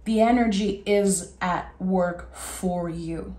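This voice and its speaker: A woman with a raspy voice giving a gentle, soothing tarot reading. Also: lowish voice, takes it slowly, reverberant. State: raspy voice today. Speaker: tarot reader.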